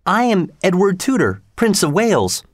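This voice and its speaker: A man's voice: high voice, very animated, moderate pace, dry recording, clean studio sound, pitched above the performer's own voice. A man reading aloud, voicing a proud, indignant young prince in a storybook with a raised, insistent delivery.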